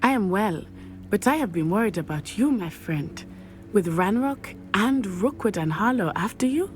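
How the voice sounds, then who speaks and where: A woman's voice: medium pitch, expressive, moderate pace, close to an everyday voice. A woman with a Southern African accent playing an earnest, brave schoolgirl witch in a video game, phrasing things formally. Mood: caring.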